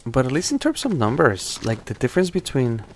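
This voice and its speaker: A man with a slight accent giving relaxed, rambling commentary while streaming a game live, often restarting his sentences. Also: medium pitch, fairly quick, slight background noise. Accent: non-native English accent. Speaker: tech streamer.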